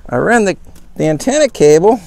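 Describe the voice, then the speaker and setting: A man's voice: high-pitched, sing-song, vocal fry, darkish tone. A man casually walking through how he fitted gear into his pickup and camper, talking plainly with lots of fillers. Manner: plain, unhurried, homespun phrasing with fillers. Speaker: vlogger showing his truck camper. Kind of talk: casual show-and-tell walkthrough. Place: in and around a pickup truck.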